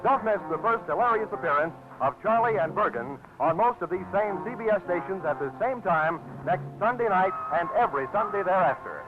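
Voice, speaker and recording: A man gives credits and promotions in a lively 1940s network announcer style. His voice is high and swings widely. The sound is tinny, with music behind him. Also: brisk, few pauses. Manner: bright, lively promotional delivery. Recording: tinny old radio broadcast with music.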